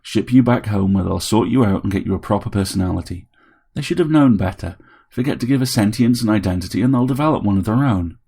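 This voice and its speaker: A man's voice: on the low side, expressive, fast talker, creaky, mellow, husky, exaggerated voice. A man voicing a middle-aged, washed-up programmer in an audiobook story, wry and scrappy. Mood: gruff, softening.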